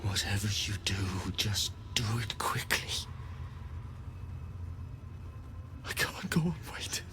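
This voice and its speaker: A man acting a hopeless, low-voiced vampire prisoner. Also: animated, close to an everyday voice. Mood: resigned, weary. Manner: halting, breathy half-whispered delivery. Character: hopeless, frightened male vampire spawn prisoner, weary and pleading. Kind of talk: dramatic video game acting.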